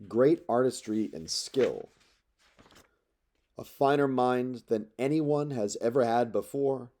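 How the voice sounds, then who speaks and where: A man voicing an arrogant suitor who lays down an accusing ultimatum in a measured, stern, declamatory reading of epic poetry. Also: on the low side, warm tone. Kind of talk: dramatic epic poetry read aloud.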